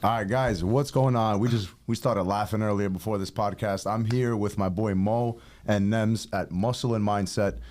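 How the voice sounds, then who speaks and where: A young man from New York hosts a casual podcast chat in a slightly low voice. He rambles easily and swears freely. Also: fairly even intonation, normal speed, hardly any pauses, darkish tone. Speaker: podcast host.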